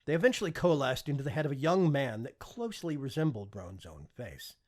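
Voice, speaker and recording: A man's voice: mid-range voice, sing-song, brisk, a little mellow. A man narrating scripted fiction as an audiobook reader.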